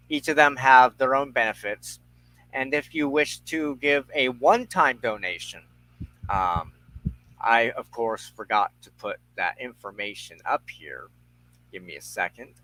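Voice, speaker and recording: A man hosting an informal online show, speaking in a halting, deliberate way as he searches for words. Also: medium pitch, sharp tone, poor audio. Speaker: host of a weekly online show. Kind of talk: informal livestream sign-off and appeal.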